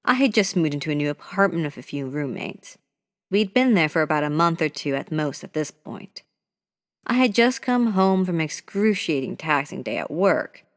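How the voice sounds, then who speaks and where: A narrator reading a scary story aloud in a steady, measured way. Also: medium pitch. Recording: voice with long hall reverb.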